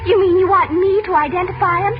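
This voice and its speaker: A woman's voice: extremely high pitch, fairly even intonation, fast. An actress playing an innocent, trusting teenage girl in a 1940s radio serial, anxious and hesitant in her earnestness. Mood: eager, questioning. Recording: dull old radio recording with music.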